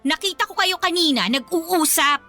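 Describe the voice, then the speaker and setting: Shrill, haughty young mean girl. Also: animated, moderate pace, pushed and bright, faint music behind, full character voice. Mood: jealous, accusing. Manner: sneering, taunting. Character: spiteful, jealous rich young woman, haughty bully. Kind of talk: Tagalog radio drama acting.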